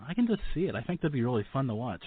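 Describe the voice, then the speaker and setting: Male radio interviewer, average pitch, informal and rambling with a joking streak, muffled low-fidelity recording. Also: sing-song, very fast, husky. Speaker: radio talk show host. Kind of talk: casual chatty interviewing.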